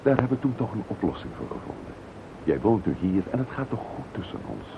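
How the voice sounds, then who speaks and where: An actor playing an earnest, protective and emotional husband in a radio drama, with a Netherlands Dutch accent. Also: male voice, lowish voice, very animated, quick pace, vocal fry. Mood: concerned, soothing. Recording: tinny, noisy old radio recording.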